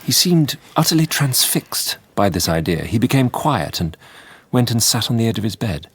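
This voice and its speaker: An actor playing a weary male Victorian asylum doctor, giving sober, measured diary narration in a radio drama with a husky voice. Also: medium pitch, sing-song.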